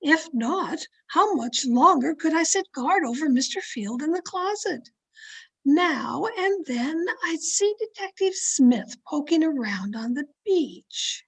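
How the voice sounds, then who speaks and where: A woman playing a tense, secretive woman who narrates her own crime in a deliberate, dramatic old-time radio mystery style. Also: sits high, lively intonation, heavily acted. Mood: tense, brooding. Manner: deliberate, dramatic, suspenseful delivery. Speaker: actor in an online radio play performance. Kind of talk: 1940s radio mystery acting, first-person narration. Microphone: video call. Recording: noisy home video-call recording.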